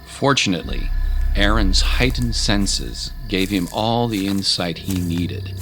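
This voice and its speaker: A man's voice: low-pitched, takes it slowly, poor audio. A man narrating a scripted adventure story in a dramatic style. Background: loud music.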